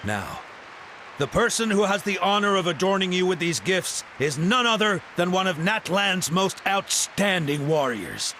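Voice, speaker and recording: A man playing an enthusiastic young townsman in a video game, with a showy, energetic delivery. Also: high-pitched, moderate pace, a little hiss or hum. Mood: proud.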